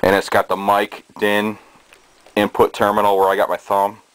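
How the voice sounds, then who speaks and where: A man with a fairly low voice talks casually, as if showing items to viewers at home. His delivery is halting and hesitant. It is an amateur indoor camera recording with noticeable background noise. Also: completely flat delivery, low-quality recording. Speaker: hobbyist presenter. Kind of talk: casual show-and-tell of collected gadgets. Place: small cluttered bedroom indoors. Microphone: handheld camera's built-in microphone.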